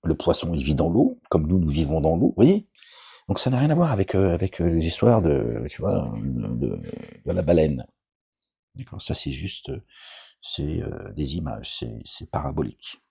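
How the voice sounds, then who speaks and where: A man's voice: rumbling low, big pitch swings, normal speed, vocal fry, mellow, slightly rough. A middle-aged man giving a rambling, informal religious commentary, often checking in with his listeners and adding joking asides. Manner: digressive, asks "d'accord?" often, jokey asides.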